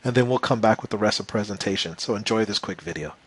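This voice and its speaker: A man with a slightly hoarse voice giving an enthusiastic sales pitch for a business opportunity, calling his viewers "you guys". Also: fast, low-quality recording. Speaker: presenter.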